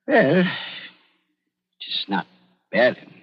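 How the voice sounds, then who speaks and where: An actor playing a fussy, evasive German doctor in a 1940s radio drama, with an accent from Germany and a halting, ingratiating manner. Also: male voice, mid-range voice, wildly swooping pitch, husky, full character voice. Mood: guarded. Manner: ingratiating, halting, repeats himself nervously. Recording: dull, muffled 1940s radio recording.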